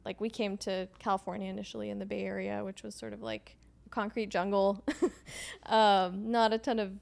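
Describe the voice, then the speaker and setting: A woman's voice: medium pitch, little pitch movement, a little fast, gravelly, a little mellow. A young woman from Sweden, a podcast guest, talking casually and thoughtfully with frequent ums, likes and light laughs. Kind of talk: casual conversational interview.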